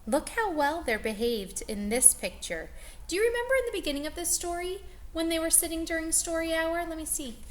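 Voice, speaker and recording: A woman reading aloud to young children as a teacher, expressively, pausing to explain and ask them questions. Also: very high-pitched, lively intonation, slight background noise.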